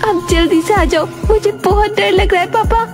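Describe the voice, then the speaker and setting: A woman's voice: very high voice, fairly even intonation, normal speed, pitched above the performer's own voice. A woman voicing an innocent, anxious young girl in a Hindi horror cartoon, with a pleading manner. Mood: panicked pleading. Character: frightened, innocent young girl, high-voiced. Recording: loud music under the voice.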